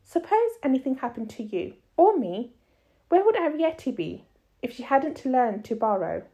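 A woman voicing an anxious, sharp-tongued, determined mother in a storybook, with emphatic, homely ungrammatical speech. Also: high-pitched, very animated, moderate pace. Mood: firm, persuading. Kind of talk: storybook read-aloud character voice.

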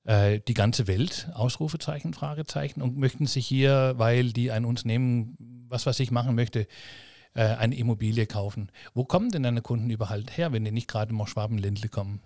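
Rambling podcast host. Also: male voice, medium pitch, a little fast, a little mellow, no echo, clean studio sound. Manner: rambling, many restarts and fillers. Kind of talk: casual interview chat.

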